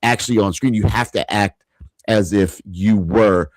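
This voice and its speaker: A man's voice: fairly low pitch, measured pace, a little mellow, no room sound. A man co-hosting a podcast, talking emphatically with lots of repeated restarts. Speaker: podcast co-host. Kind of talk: casual interview chat.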